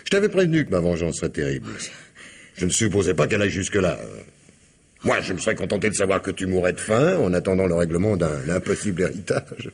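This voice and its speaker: Max, a husky vengeful gloater. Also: male voice, low-pitched, sing-song, quick pace, a little mellow, full character voice. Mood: coldly gloating. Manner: cold, gloating and sardonic. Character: cold vengeful man back from the dead. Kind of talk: 1960s French radio drama acting.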